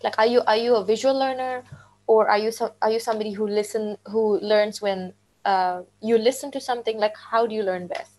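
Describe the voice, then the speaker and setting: A woman's voice: mid-range voice, fairly even intonation, a little fast, a little mellow. A woman co-hosting a live webinar, speaking earnestly in casual chat full of "like" fillers. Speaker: webinar co-host, teacher. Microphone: video call.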